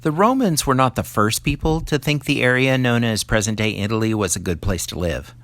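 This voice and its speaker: A man's voice: mid-range voice, lively intonation, a little fast, fairly bright tone, no echo. Man reading a lesson aloud, dry close sound. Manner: explanatory, poses questions to the listener. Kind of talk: scripted educational read-aloud addressed to children.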